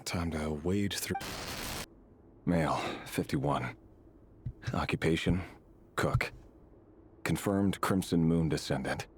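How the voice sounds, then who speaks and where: Deep, cold secret agent. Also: male voice, slow pace, long pauses. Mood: cold, detached. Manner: clipped, detached, clinical. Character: cold, methodical male intelligence operative who purges targets. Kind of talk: video game voice acting.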